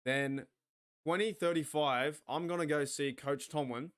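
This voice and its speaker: Excitable Australian man, slightly higher voice. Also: a little slow. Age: 40s. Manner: excitable, rambling, swears freely, talks to chat. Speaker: watch party host. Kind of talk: casual live-stream sports chat.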